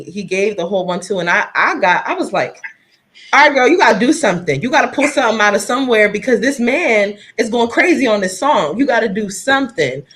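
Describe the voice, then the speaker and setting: A soft-voiced woman singer from New Jersey, chatting casually on a live video stream, laughing often and talking straight to her viewers. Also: lowish voice.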